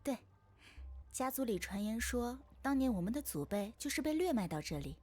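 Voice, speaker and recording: A woman's voice: fairly high pitch, big pitch swings, brisk, crowd noise. A woman playing a composed young storyteller in a visual novel. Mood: calm storytelling. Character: composed young woman recounting her family's old legend. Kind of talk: visual novel character dialogue.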